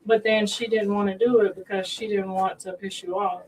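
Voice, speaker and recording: A woman's voice: medium pitch, flat delivery, normal speed. A woman guest in casual chat, asking probing questions. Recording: distant mic, noisy.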